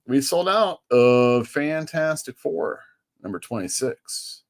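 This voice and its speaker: A man hosting a casual chat as a comic shop owner, talking in a relaxed way that often trails off mid-sentence. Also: fairly high pitch, slow pace. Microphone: streaming setup microphone.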